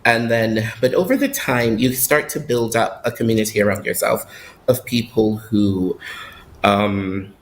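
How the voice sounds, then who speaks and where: A Barbadian man living overseas chats in an interview, pausing often with fillers and restarting his sentences. His voice sits a little low. Faint ambient noise hums behind him. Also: poor audio. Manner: hesitant, many fillers and restarts. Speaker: guest living abroad. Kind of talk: casual interview chat.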